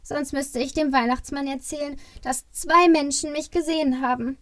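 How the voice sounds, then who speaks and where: Nervous little boy elf, played by a woman, high and fretful, dry close sound, Christmas radio play. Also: fairly even intonation, moderate pace, a bit bright, no room sound. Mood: nervous, worried. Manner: fretful, exclaiming. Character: small, anxious, excitable Christmas elf, male. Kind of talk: family Christmas audio play.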